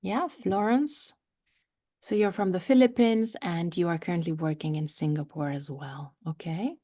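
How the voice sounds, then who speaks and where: A woman from Romania, a coach and trainer, speaking in an inviting, measured way as she leads a video-call webinar. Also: lowish voice, mellow. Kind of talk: live online workshop talk.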